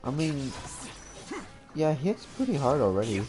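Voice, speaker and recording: A man's voice: mid-range voice, big pitch swings, normal speed, music in the background. A young man giving casual gameplay commentary, thinking aloud in a hesitant way. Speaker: gamer.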